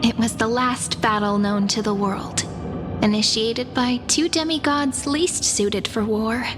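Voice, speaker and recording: A woman playing a soft, wistful young storyteller in a video game, narrating solemnly and tenderly. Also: fairly high pitch, measured pace, natural voice. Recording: music behind. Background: game music.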